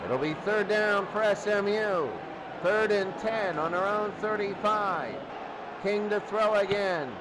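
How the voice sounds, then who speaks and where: A man's voice: high voice, takes it slowly. A man doing live play-by-play football commentary and recaps in short, clipped, repetitive phrases. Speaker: play-by-play announcer. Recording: noisy, crowd roaring behind the voice. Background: loud stadium crowd noise.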